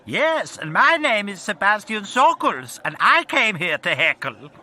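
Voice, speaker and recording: Pompous German-accented male heckler: very high, sharp, animated, insistent. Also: measured pace, heavily acted. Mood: haughty. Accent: put-on German.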